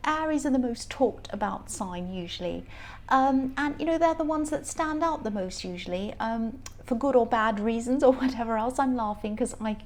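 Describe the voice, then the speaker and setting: A woman with a croaky voice giving a casual, rambling tarot reading, often laughing as she talks. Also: on the high side, a little mellow. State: croaky voice from stress. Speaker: tarot reader.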